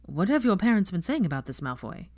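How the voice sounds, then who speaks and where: A woman's voice: medium pitch, big pitch swings, fast, darkish tone, natural voice. Woman acting a blunt young man. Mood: sceptical. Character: blunt, gruff young father, protective friend. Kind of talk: fan fiction audiobook character voice.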